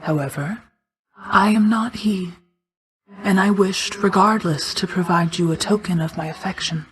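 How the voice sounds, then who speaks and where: A woman playing an ancient, regal mother goddess of night in a video game, speaking in a formal, measured, restrained way, hushed at times. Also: medium pitch, natural voice, rough recording. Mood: composed, tender. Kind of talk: scripted video game character acting.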